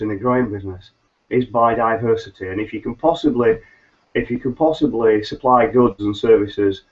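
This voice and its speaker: A middle-aged man, nasal and a little hoarse from hay fever, hosting a solo business webcast in a rambling way with many ums and restarts. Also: mid-range voice. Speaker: business consultant host. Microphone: webcam mic on a video call. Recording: slightly dull lo-fi webcam audio.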